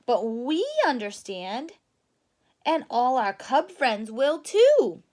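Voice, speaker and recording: A woman's voice: on the high side, very animated, takes it slowly, a little mellow. A woman voicing an earnest, indignant little girl bear cub in a storybook, with an insistent, emphatic delivery.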